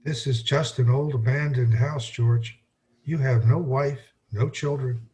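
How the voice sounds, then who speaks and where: An actor playing an earnest, apologetic, bumbling elderly guardian angel in an old-time radio play. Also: male voice, medium pitch, steady tone, a little slow, mellow, natural voice. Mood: gently earnest.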